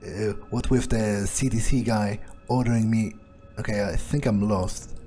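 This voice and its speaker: A man playing a smug, cynical vampire in a game roleplay, thinking aloud in a halting, sardonic way. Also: fairly low pitch, steady tone, warm tone, natural voice. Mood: musing. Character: smug cynical male vampire, talking to himself. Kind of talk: in-character gameplay roleplay, improvised muttering. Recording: game music behind. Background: video game music.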